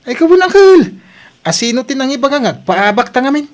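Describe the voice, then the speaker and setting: A man's voice: very high-pitched, big pitch swings, moderate pace. A man playing a cocky young nephew who gambles with his uncle, in a radio drama. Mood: cheeky, breezy. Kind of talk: radio drama acting in Ilocano.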